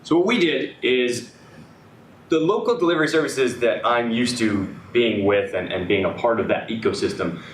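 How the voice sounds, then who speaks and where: Slightly raspy man pitching his startup. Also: expressive, moderate pace, slight background noise. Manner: hesitant, many fillers and restarts. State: slightly hoarse. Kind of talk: business pitch presentation. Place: event room with audience. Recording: echoey room, speaker far from mic.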